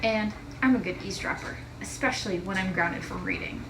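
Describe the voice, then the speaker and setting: A woman's voice: mid-range voice, brisk, roomy sound, noisy background, natural voice. A woman voicing a bossy, eager, bookish young schoolgirl in a storybook. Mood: confiding, eager.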